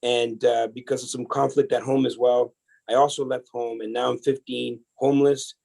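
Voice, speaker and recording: A man from El Salvador, a podcast guest telling his life story in a casual, energetic way, often restarting his sentences. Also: mid-range voice, normal speed, gravelly, poor audio. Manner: energetic, chatty, many restarts.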